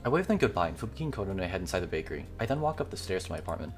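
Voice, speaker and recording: Low-voiced man reading a visual novel aloud. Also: very animated, racing, soft background music. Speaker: gaming video creator.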